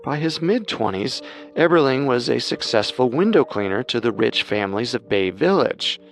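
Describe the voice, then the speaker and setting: A man co-hosting a podcast, reading scripted true-crime narration in a measured storytelling manner. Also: mid-range voice, lively intonation, faint music behind.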